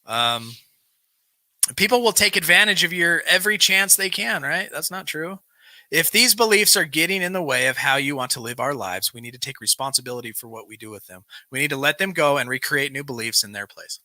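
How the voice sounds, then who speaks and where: A man's voice: a little high, fairly quick, pushed and bright, no room sound. A man hosting a live recovery show, reading self-help material aloud and breaking off into informal, bantering asides.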